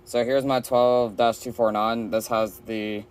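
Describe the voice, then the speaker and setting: A man's voice: medium pitch, flat delivery, a little slow, smooth. A man casually presenting his collection in a show-and-tell vlog, recorded at home. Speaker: collector presenting a weather radio shelf tour. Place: indoor room at home with a shelf of radios.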